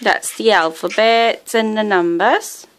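A mother filming in a dim child's bedroom with her phone, softly encouraging her toddler to say good night. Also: mid-range voice, takes it slowly, a little hiss or hum. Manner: soft, encouraging, coaxing a toddler. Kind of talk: casual family chat.

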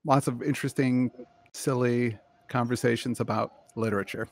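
A man's voice: mid-range voice, steady tone, normal speed. A man casually introducing himself as a podcast co-host on a video-call panel.

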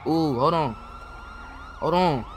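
A man's voice: fairly high pitch, takes it slowly, a little hiss or hum. An American man in improvised street gang roleplay chat, slangy, profane and urgent. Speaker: online roleplay player.

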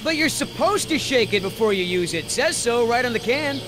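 A man's voice: extremely high pitch, expressive, normal speed, background noise. An actor playing a cocky, menacing male mercenary in a video game, mocking and bossy. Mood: taunting. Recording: music under the voice.

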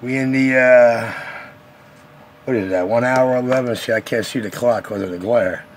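A man's voice: medium pitch, moderate pace. Male CB operator, swaggering slangy patter, lightly noisy radio recording.

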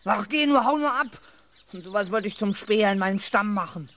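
An actor playing a cocky, scheming schoolboy who talks boastfully and emphatically in a 1970s children's radio play. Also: male voice, sits high, animated, fairly quick, a bit bright, a little hiss or hum. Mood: huffy. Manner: boastful, emphatic, self-assured. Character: cocky, scheming young schoolboy with big fantasies.

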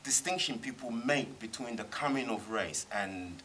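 A middle-aged man speaks on a panel in a cinema screening room. His tone is bright and ringing, and he argues with emphasis, circling back and restarting. A faint hum of the room sits behind him. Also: medium pitch, animated, normal speed. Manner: thoughtful, emphatic, many restarts. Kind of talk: panel discussion.

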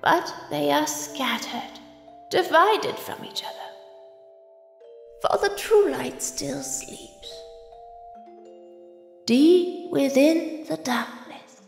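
A solemn, mystical storyteller acted by a woman in a fantasy manga dub. Her high voice is slightly frayed with creak and moves slowly. It rings in long reverb. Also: animated, exaggerated voice. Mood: solemn, wistful. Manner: solemn, measured. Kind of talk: scripted fantasy narration. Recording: long echoing reverb under music.

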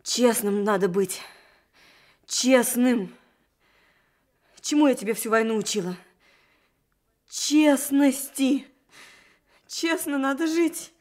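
High-pitched, anguished scolding mother. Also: slow, pauses often, darkish tone. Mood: bitter reproach. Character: angry, anguished mother scolding her son. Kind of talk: radio drama acting.

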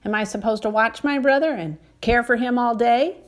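A woman's voice: on the high side, expressive, measured pace, a bit bright. A woman voicing a sulky, defiant young man in a storybook, with a whiny, rising tone.

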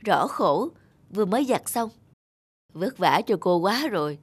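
A woman's voice: mid-range voice, very animated, unhurried, husky. A woman voicing a blunt, concerned middle-aged neighbour in a cartoon. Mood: sympathetic. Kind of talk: cartoon voice acting.

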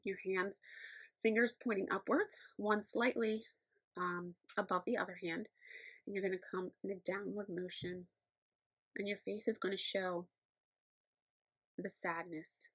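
A middle-aged woman, a teacher giving sign language instruction in measured, step-by-step explanations. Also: mid-range voice, steady tone, fairly bright tone, breathy edge, no echo, rough recording. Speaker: teacher of the deaf. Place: small indoor room against a plain backdrop.

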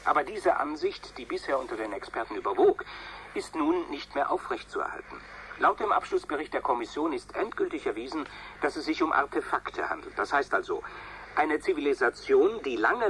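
A solemn disaster reporter on a radio broadcast. The delivery is formal and dramatic with lively swings in pitch, over faint noise, as in a radio play. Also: male voice, rough recording.

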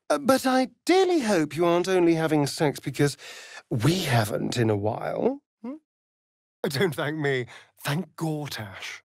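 A man's voice: on the high side, darkish tone, no echo, studio quality. A man playing a sardonic, vain vampire spawn in a fantasy video game, with an arch, theatrical drawl. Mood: sardonic, musing.

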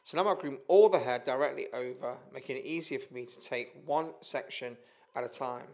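A man with a British accent calmly narrating a step-by-step hairdressing tutorial. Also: medium pitch, a little fast. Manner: calm, methodical instruction with small restarts. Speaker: hairdressing educator. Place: studio with a plain grey backdrop.

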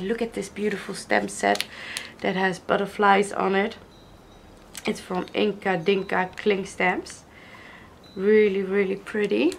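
Girl kinda sick and hoarse, slow and gushy, gravelly voice, soft room hum behind. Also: mid-range voice. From Netherlands. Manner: gushing, slow, admiring, repeats praise. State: hoarse from illness. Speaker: crafter showing gifts. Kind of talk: casual unboxing vlog. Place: indoors at a wooden table.

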